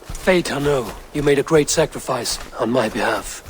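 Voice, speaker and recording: An actor playing a proud, resolute warrior god in a video game, speaking in a grave, declamatory way. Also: male voice, medium pitch, moderate pace, a little hiss or hum, close to an everyday voice.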